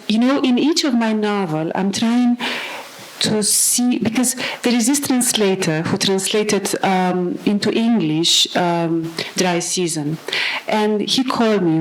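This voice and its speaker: A woman, an author talking about her novels, often pausing and restarting as she hunts for the right English word. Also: mid-range voice, poor audio. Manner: searching for words, many fillers and restarts. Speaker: author presenting her work. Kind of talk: public talk and answering questions.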